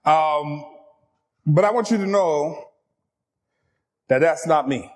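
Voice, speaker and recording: A middle-aged man whose first language is French, preaching a lively, joking sermon and talking straight to the congregation. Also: fairly high pitch, slow. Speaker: pastor.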